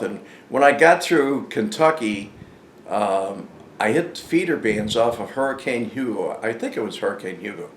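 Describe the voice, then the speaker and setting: An older man telling a casual, unscripted story in a halting, rambling, plain-spoken way. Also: fairly low pitch. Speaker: storyteller, former informant hosting his own channel. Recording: echoey room with faint background noise.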